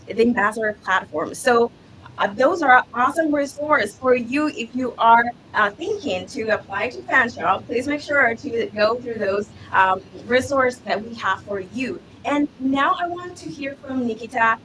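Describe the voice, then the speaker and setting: A woman's voice: a little high, lively intonation, unhurried, noisy background. A woman hosting a live student panel, upbeat and encouraging, sometimes restarting her sentences. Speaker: livestream host.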